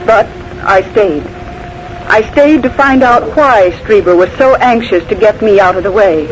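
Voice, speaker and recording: Cool guarded young woman, played by an actress, principled, quietly defiant, clipped, polite, noisy 1940s radio drama. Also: medium pitch, a little slow, lightly acted. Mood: grave, resolute. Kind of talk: 1940s radio acting with first-person narration.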